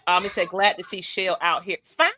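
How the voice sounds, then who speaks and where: A woman's voice: fairly even intonation, moderate pace, pushed and bright, slight background noise. A woman, co-hosting a casual fan chat and laughing often. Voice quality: low-toned voice. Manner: laughs often, loose and chatty. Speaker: podcast co-host.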